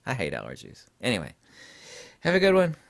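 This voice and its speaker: A middle-aged man hosting a casual book-recommendation talk from his home studio desk, emphatic and opinionated, his voice a little deep from allergies, on a lapel mic. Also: huge pitch swings, a little fast, creaky, slight background noise. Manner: emphatic and opinionated, trails off with fillers. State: voice deepened by allergies. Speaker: book reviewer and channel host.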